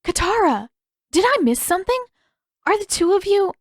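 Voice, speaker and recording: A woman voicing a gentle, earnest young woman in a story, shy, sincere and careful in how she talks. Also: very high voice, very animated, moderate pace, no room sound, clean studio sound, pitched above the performer's own voice. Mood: shocked. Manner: hesitant, careful.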